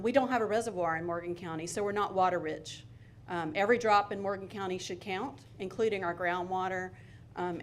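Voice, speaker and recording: A woman's voice: medium pitch, slight background noise. A woman from Georgia making an earnest, slightly rambling public comment at a city council hearing, with frequent ums. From Georgia, USA. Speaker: resident giving public comment.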